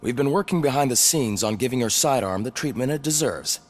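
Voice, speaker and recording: A man's voice: mid-range voice, expressive, brisk, natural voice. A man playing a chummy police weapons technician in a video game, explaining things informally and eagerly.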